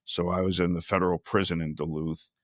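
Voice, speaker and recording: A man hosting a podcast interview, speaking in a measured way with frequent restarts and fillers. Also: low-pitched, little pitch movement, dry recording, clean studio sound. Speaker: podcast host. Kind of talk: conversational interview.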